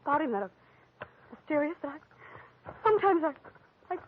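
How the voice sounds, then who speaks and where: A woman's voice: high-pitched, big pitch swings, normal speed, slight background noise. An actress playing a coy, anxious young wife of a rich man, hesitant and refined, in a 1940s-style radio drama. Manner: hesitant, breathy, faltering, refined. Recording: very muffled, phone-like old radio recording.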